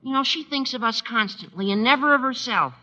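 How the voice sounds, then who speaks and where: A bright, high-voiced teenage schoolboy in vintage radio comedy style. He is earnest and a little bumbling, with a hesitant, gushing delivery on a dull old recording. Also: brisk, heavily acted. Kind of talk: 1940s radio comedy acting.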